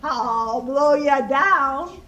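A woman voicing a gleeful, greedy, menacing male wolf in a storybook tale, loud and blustering with drawn-out, boastful threats. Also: high-pitched, expressive, highs cut off, exaggerated voice. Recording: echoey room with clear background noise.

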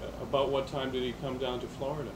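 A man's voice: medium pitch, little pitch movement, fast, noisy background. A man conducting an oral history interview, asking brief, prompting questions.

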